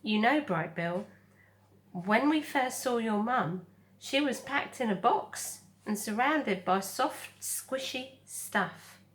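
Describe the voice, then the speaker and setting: Woman voicing a breezy, raised-pitch friendly otter. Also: lively intonation, slow, fairly bright tone. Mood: chatty. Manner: breezy, informal. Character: big friendly female otter, chatty and well-meaning.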